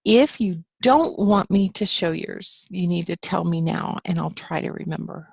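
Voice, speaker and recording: A woman's voice: on the low side, measured pace, vocal fry, darkish tone, breathy edge, no room sound. A woman teaching a live online class from her home office, chatting with students and reading their answers aloud in an encouraging way. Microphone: computer mic on a video call.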